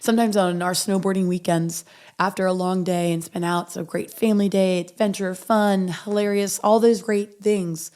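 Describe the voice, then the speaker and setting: A woman with a raspy voice, hoarse from a recent illness, hosts a motivational podcast. She speaks earnestly at a middle pitch. Her affirmations come out quiet and unhurried. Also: fairly even intonation. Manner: earnest, reading affirmations softly and slowly. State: hoarse from recovering after illness. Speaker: podcast host.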